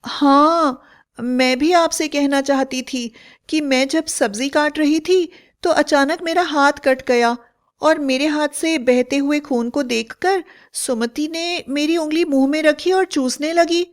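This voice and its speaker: A frightened young village wife, voiced by a woman storyteller. Her voice sits high and she speaks in anxious, earnest tones, in the manner of a cartoon horror tale. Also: fairly even intonation, slow.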